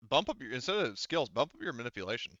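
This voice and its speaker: A man's voice: mid-range voice, sing-song, fairly bright tone, no room sound, highs cut off. A man running a tabletop game as game master, talking casually and correcting himself as he explains the rules. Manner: halting, self-correcting explanations. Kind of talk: casual tabletop gaming chat.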